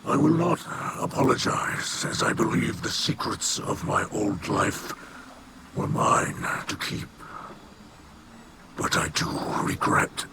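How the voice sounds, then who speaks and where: An actor playing a grave, proud male alien warrior leader in a video game, solemn and halting, with a breathy rasp. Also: lowish voice, very animated. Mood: remorseful. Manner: solemn, halting, formal speech. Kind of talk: video game dialogue acting. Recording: noisy background ambience.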